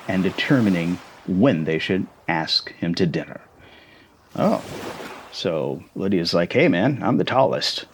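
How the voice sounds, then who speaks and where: A man's voice: fairly low pitch, slight background noise. A man in an ASMR roleplay, casually reading a story aloud and chatting between passages.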